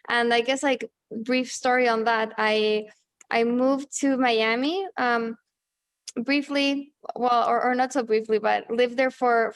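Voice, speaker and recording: A woman in her thirties from Chile, a native Spanish speaker, talking casually as an interview guest, halting with many fillers and restarts. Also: fairly high pitch, fairly bright tone, smooth, dry recording. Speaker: guest, journalist and author.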